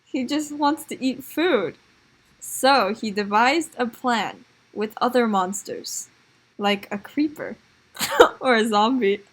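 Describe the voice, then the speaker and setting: A woman improvising comic stories for a puppet show in a giggly, halting way. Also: on the high side, rough recording. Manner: giggly, halting, making it up as she goes.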